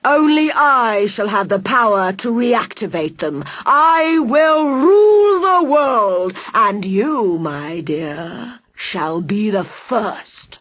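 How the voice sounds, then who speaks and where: A woman voicing a sneering male villain mastermind, drawing out his words slowly and menacingly. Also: mid-range voice, expressive, fairly bright tone, no echo. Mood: sneering menace.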